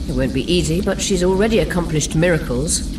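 An actress playing a shrewd, assured political informant in a fantasy video game, measured and declarative. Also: female voice, low voice, close to an everyday voice. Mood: assured, grave. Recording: distant, heavy noise behind.

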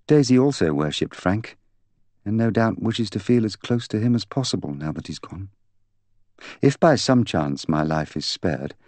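Man voicing a bitter, intense young woman, low-pitched. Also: animated, normal speed, darkish tone, dry recording, natural voice. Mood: candid. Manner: candid, articulate, intense. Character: proud, bitter young woman confessing to killing her fiancé. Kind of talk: audiobook character dialogue.

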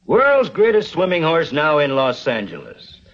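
Folksy older newspaperman, higher-pitched, animated. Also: heavily acted. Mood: dry, deliberate. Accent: American. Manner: folksy, reads copy aloud deliberately. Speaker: actor in a TV western. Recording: dull old television soundtrack.

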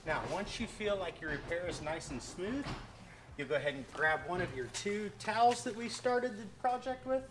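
A relaxed man in his thirties walks through a hands-on boat repair, his tone easygoing and folksy. His voice sits a little high for a man. The camera mic is set some distance off in a big showroom, with a steady hiss of noise underneath. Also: moderate pace. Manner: easygoing, folksy instructional talk. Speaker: boat dealer and channel host. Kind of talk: casual how-to demonstration.

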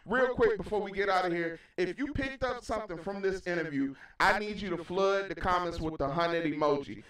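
A middle-aged American man hosts a casual interview show with emphatic, repetitive hype. His voice sits a little high and comes through very echoey, well away from the microphone. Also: a bit bright. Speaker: radio show host and DJ. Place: small indoor room, video call. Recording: very echoey, distant from mic.

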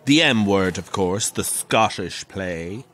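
An actor playing a camp, wry, middle-aged Irish pantomime dame who relishes telling grisly ghost stories in an audio drama. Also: male voice, low voice, animated, slow pace, fairly bright tone, heavily acted. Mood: wry, breezy. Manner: theatrical, wry, relishing the tale.

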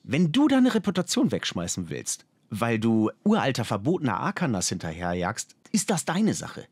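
A man's voice: fairly high pitch, sing-song, normal speed, no echo, clean studio sound, voice pushed up. A man voicing a grumpy, sardonic mage and exasperated friend in colloquial audiobook dialogue. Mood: annoyed.

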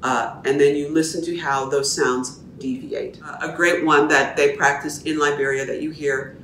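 A middle-aged woman, a dialect coach, explaining her process to camera in a measured, teacherly way from a small room at home. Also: low voice, steady tone, fairly bright tone, distant mic, slight background noise. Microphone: camera at a distance. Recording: echoey room, voice a little off-mic.

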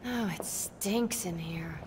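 A woman's voice: fairly low pitch, takes it slowly, background noise. Brash, sardonic female demon hunter. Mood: disdainful. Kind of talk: video game voice acting. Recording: game ambience behind the voice.

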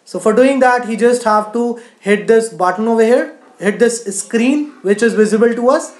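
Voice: medium pitch, moderate pace, darkish tone, echoey room. A teacher narrating a screen-share tutorial in an explanatory way, often saying "you know". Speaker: coding teacher.

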